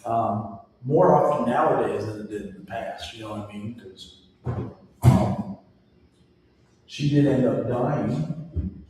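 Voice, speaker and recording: Man in his forties, casual instructor with personal asides, booming echo, mic far away. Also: slow pace, long pauses, darkish tone. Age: 40s. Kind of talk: casual classroom lecture. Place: classroom. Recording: echoey hall recorded from far off.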